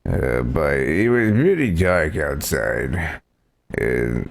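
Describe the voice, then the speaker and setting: A man voicing a doddering, folksy old noodle shop owner for a video game, halting and muddled as he rambles. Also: extremely low pitch, very animated, gravelly, voice pushed down, exaggerated voice. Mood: rambling. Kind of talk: hammy video game voice-over. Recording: game music behind the voice.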